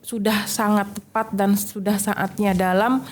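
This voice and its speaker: A woman's voice: medium pitch, fairly even intonation, unhurried. Woman, businesswoman guest, earnest, polite, speaking in an interview. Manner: earnest, often ends with "Pak". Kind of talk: talk show interview.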